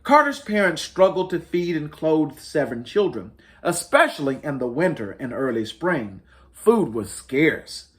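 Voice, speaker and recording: Expressive man reading a children's storybook, lively pitch. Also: measured pace. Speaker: children's storybook reader, host. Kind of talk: expressive picture book read-aloud for families.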